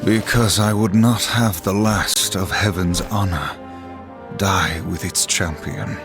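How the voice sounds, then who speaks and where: An actor voicing a stoic, vengeful supernatural warrior in a video game, solemn and measured with quiet menace. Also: male voice, low voice, steady tone, music in the background. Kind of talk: dramatic video game acting.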